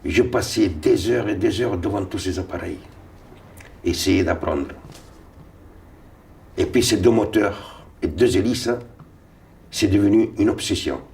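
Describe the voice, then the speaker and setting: Older man with a Sicilian mother tongue, low voice. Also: unhurried, creaky, long pauses, darkish tone, a little hiss or hum. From Tunisia. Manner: rambling, repeats and restarts words. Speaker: interviewee. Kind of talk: recounting memories. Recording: voice a little far from mic.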